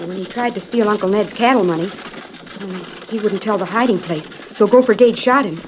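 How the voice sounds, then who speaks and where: A woman's voice: medium pitch, a little fast, highs cut off, natural voice. Actress as a polite young frontier woman, noisy old radio. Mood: earnest. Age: young adult. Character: polite, earnest young woman traveling West. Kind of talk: old-time radio western acting.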